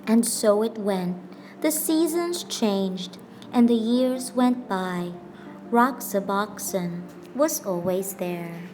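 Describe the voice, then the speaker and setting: A woman reading a children's picture book aloud as a teacher, with expressive, deliberate phrasing and pauses for emphasis. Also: medium pitch, rough recording. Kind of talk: scripted storybook narration. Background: background music.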